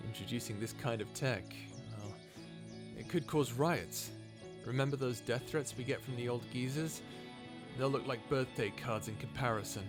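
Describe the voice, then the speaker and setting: A sarcastic, grumbling scientist acted by a man talks in a casual, exasperated way, as in an audio roleplay. His voice sits at a middle pitch. Music plays clearly underneath. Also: normal speed, close to an everyday voice. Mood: wry. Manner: sarcastic, informal, exasperated, sighing. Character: wry, grumbling human scientist, reluctant companion of a robot. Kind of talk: audio roleplay drama, conversational acting.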